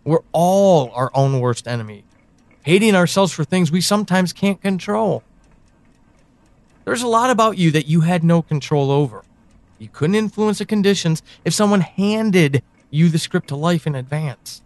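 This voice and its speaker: Brooding, knowing actor as a higher-voiced man, halting delivery. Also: lively intonation, a little mellow, dry recording. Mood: reassuring, grave. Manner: deliberate, halting delivery with sighs and pointed pauses. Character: brooding, knowing man confiding in an amnesiac woman. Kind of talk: audio drama monologue, second-person address.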